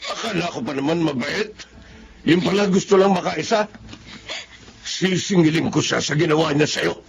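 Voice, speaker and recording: Gravelly, stern outraged father. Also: fairly high pitch, normal speed, background noise. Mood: vengeful. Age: middle-aged. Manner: demanding, indignant. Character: outraged, stern, protective middle-aged father. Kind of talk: radio drama acting.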